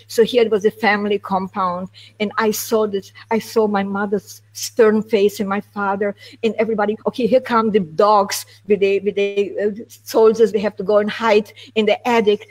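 An older woman from Romania whose first language is German, telling her life story with lively, animated, broken phrasing on a video call from a home living room. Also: mid-range voice, moderate pace, few pauses, poor audio. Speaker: interview guest.

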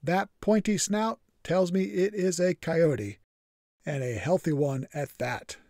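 A man's voice: a little high, takes it slowly, darkish tone, no room sound. A man narrating his camping trip in a scripted voice-over, matter-of-fact with dry humor. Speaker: vlogger.

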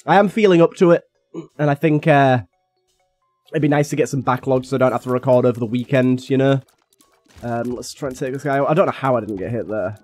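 A young man with a slightly raspy voice from being a little ill, chatting casually and thinking aloud as he plays a game. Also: medium pitch, a little fast, a little mellow, dry recording, faint music behind. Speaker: gaming YouTuber. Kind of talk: casual gameplay commentary.

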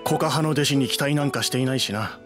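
A man's voice: medium pitch, fast talker, over music, lightly acted. An actor playing a proud, fretful, down-on-his-luck bookish man with weary, old-fashioned speech in an anime game. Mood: resigned. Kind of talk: Japanese anime game dubbing.

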